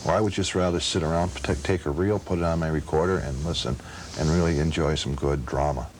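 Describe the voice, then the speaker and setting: A man's voice: extremely low pitch, fairly even intonation, a little fast. A man, middle-aged or older, talking casually in an interview as a hobby enthusiast. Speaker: old-time radio enthusiast interviewee. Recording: faint background noise.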